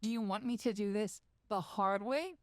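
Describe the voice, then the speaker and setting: A woman playing a calm, quietly controlling therapist and hypnotist in a measured, coaxing audio roleplay with deliberate pauses. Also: mid-range voice, no echo, clean studio sound, lightly acted. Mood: patient, reassuring. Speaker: therapist character.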